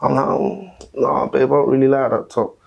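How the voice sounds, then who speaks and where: A man's voice: moderate pace, vocal fry, highs cut off. A man in a casual podcast debate, arguing his points and pushing back with rising emphasis. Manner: argumentative, rises in pitch when pressing a point. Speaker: podcast panelist.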